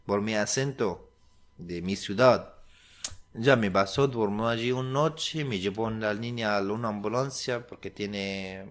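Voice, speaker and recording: A young Syrian man whose first language is Arabic, speaking halting Spanish with an Arabic accent, searching for words as he tells his story to an interviewer. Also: on the low side. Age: young adult. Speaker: guest.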